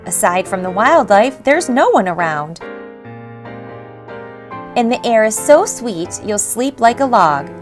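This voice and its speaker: A woman reading a rhyming picture book aloud for children in an expressive, measured storytelling style. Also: a little high. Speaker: storybook reader. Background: faint background music.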